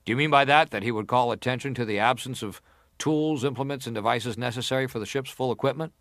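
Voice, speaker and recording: An actor playing a formal, insistent senator who questions a witness deliberately in a dramatised hearing. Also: male voice, medium pitch, fairly bright tone, no room sound, clean studio sound, natural voice. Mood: inquisitive, stern. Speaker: actor reading an examining senator. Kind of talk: dramatised reading of hearing testimony.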